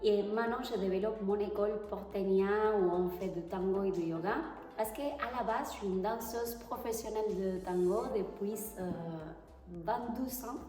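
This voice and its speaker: A woman from Argentina with an Argentine Spanish accent and Spanish as her first language, answering interview questions hesitantly and pausing to find her words. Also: mid-range voice, dark tone, faint music behind. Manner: hesitant, pauses to search for French words. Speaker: tango teacher, interview guest. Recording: echoey hall, far from the mic.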